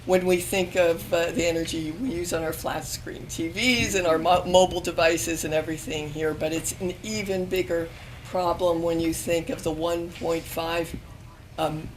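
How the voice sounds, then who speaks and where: Hoarse woman speaking haltingly on a panel. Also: fairly low pitch, fairly even intonation, a little hiss or hum, rough recording. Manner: hesitant, many ums and restarts. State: losing her voice. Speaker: panel speaker, magazine editor. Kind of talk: alumni panel talk. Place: university event room with audience.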